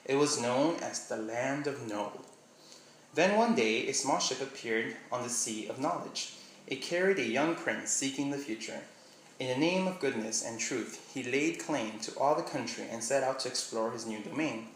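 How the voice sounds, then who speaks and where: A man's voice: mid-range voice, moderate pace, roomy sound, slight background noise, poor audio. A young man from Miami with a slight Miami accent, chatting casually in a vlog. He rambles and trails off with "I don't know.". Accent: slight Miami English. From Miami, USA. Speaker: vlogger. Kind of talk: casual vlog chat, short read-aloud passage.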